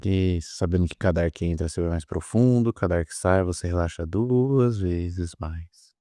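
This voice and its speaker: Deep-voiced Brazilian man guiding hypnosis. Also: dark tone, no room sound, clean studio sound. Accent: Brazilian Portuguese. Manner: soothing, repetitive, drawn-out phrasing with pauses. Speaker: guided meditation and hypnosis guide. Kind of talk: guided meditation, hypnotic induction.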